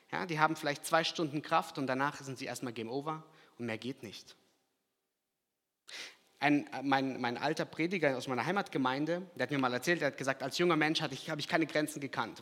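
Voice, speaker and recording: Brief: male preacher, fairly high voice, animated sermon delivery, long church-hall reverb. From Kazakhstan. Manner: animated, swings from loud emphasis to quiet asides.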